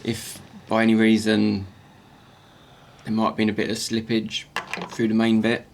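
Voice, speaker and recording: Man, croaky morning voice, halting repeated words, casual tutorial talk, faint workshop hum behind. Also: fairly low pitch, monotone.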